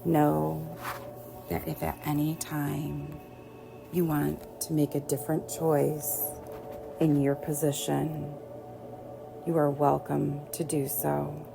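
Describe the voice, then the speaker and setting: Woman, meditation teacher, unhurried, creaky low-edged voice, soothing, guided relaxation. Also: monotone, rough recording. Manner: soothing, gentle, unhurried with long pauses. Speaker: yoga nidra teacher. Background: soft background music.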